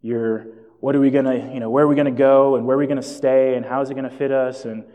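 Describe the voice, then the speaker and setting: A man's voice: mid-range voice, flat delivery, fast, highs cut off. A man born in Jamaica and raised in South Florida, preaching a sermon and telling stories with self-deprecating asides. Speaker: pastor. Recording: long hall reverb.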